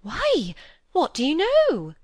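Female reader as timid older spinster, higher pitched, swooping intonation, easily shocked, peacemaking, storybook character. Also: very animated, moderate pace, no room sound. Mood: astonished. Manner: timid, breathlessly exclaiming, peacemaking.

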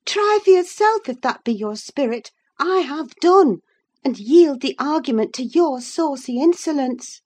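A woman's voice: sits high, expressive, measured pace, mellow, voice pushed up. A woman voicing an imperious, scornful young married woman in a storybook reading, declaiming with emphatic command. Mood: indignant, curt.

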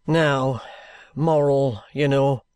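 A reader voicing a sly, genial middle-aged male detective with a cheerful, coaxing, unhurried manner. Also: mid-range voice, fairly even intonation, darkish tone, smooth, no room sound, voice pushed down. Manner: cheerful, coaxing, leisurely drawl. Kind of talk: audiobook character reading.

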